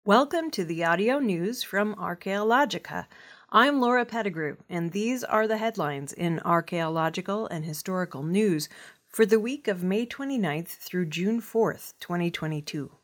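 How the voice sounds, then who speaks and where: A woman's voice: medium pitch, expressive, normal speed. A woman news reader delivering scripted news narration.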